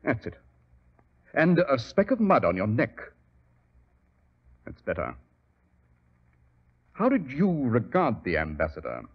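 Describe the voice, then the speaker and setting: An actor playing a shrewd, commanding English gentleman detective with a British accent and clipped delivery in an old radio mystery. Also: medium pitch, huge pitch swings, takes it slowly, long pauses, husky, heavily acted. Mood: shrewd, probing. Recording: dull, muffled 1940s radio recording.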